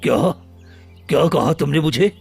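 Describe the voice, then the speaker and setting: A man voicing a noble, wounded but forgiving lion in a cartoon moral story, speaking in solemn, heartfelt phrases with a gravelly, husky voice. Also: a little high, moderate pace, darkish tone, no room sound, faint music behind, heavily acted. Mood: forgiving, moved. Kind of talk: animated moral story dialogue.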